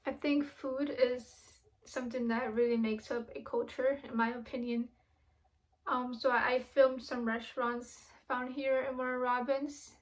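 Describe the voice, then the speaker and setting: A woman's voice: on the high side, level tone, a little slow, low-quality recording. A young woman who grew up in Miami, giving an earnest, casual video presentation with frequent restarts and fillers. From grew up in Miami, born in Ecuador.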